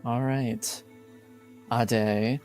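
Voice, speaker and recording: A man's voice: medium pitch, steady tone, drawn-out words, a little mellow, soft background music. A man running a tabletop roleplaying game as game master, chatting casually with his players.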